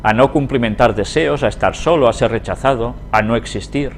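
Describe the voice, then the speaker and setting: A man's voice: mid-range voice, fairly quick, a little hiss or hum. A man with an accent from Spain giving a religious lecture as a teacher, reading aloud and commenting conversationally, often putting questions to his listeners. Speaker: Bible prophecy teacher.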